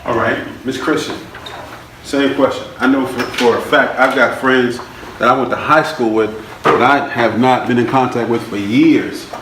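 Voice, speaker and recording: A man's voice: mid-range voice, fairly even intonation, moderate pace. A man moderating a panel discussion, calling on panelists by name and asking them questions. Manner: friendly, asks questions and calls on panelists by name. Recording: distant echoey hall recording with background noise.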